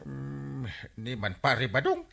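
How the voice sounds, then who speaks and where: A man's voice: mid-range voice, slow, creaky, a bit bright, heavily acted. A man playing a harried, evasive village captain in an Ilocano comedy drama, stammering and whining in an Ilocano accent. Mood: grumbling. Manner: stammering, whiny, dodging. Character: harried, evasive village captain and husband, comically put-upon. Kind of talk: broad radio comedy drama.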